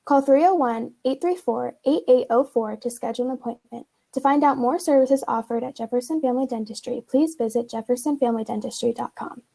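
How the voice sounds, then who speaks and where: A woman's voice: on the high side, brisk, creaky, hardly any pauses, mellow. A woman playing a reassuring, upbeat dentist in a scripted radio commercial.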